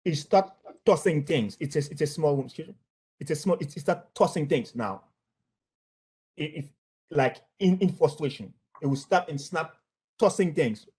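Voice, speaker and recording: A man with a Haitian accent recounts personal experiences as a former employee in an unscripted way. His voice sits a little high for a man. He speaks haltingly, often doubling back on words and phrases. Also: a little mellow, husky. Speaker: former bank employee giving testimony. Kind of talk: spontaneous spoken account of workplace incidents.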